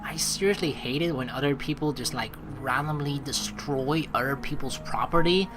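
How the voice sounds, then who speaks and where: A young man hosting a game playthrough, reading dialogue aloud and adding casual, slangy, expressive commentary. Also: medium pitch, moderate pace, poor audio. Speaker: let's play host. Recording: game music behind the voice.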